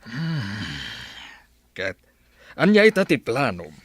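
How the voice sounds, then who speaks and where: Disapproving father, Ilocano radio drama, drawn-out delivery with big swings; male actor. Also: medium pitch, huge pitch swings, dry recording, full character voice. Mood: stern, questioning. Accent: Filipino, Ilocano. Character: gruff, disapproving father of grown daughter.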